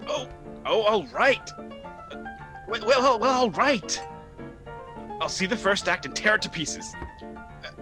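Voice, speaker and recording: A man's voice: high voice, full character voice. A man playing a flustered, exasperated nephew in a radio comedy, stammering as he goes. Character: frantic, flustered theater critic nephew. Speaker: radio play actor. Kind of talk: theatrical radio comedy acting.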